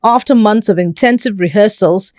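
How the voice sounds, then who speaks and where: A woman's voice: mid-range voice, moderate pace, a little mellow, no echo. Nigerian woman narrating, dry close sound. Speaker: arts programme reporter. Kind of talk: scripted narration. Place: studio voice-over.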